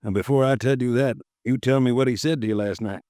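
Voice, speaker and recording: A man's voice: mid-range voice, quick pace, dark tone, no echo, studio quality, lightly acted. An actor playing a worried, blunt male insurance agent in a 1950s radio drama. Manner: blunt, grumbling.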